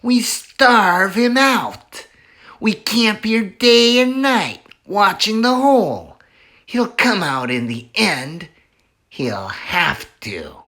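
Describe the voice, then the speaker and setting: A man reading aloud and voicing a cruel, scheming male farmer who taunts in high-pitched shouts. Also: expressive, very slow, full character voice. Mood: menacing.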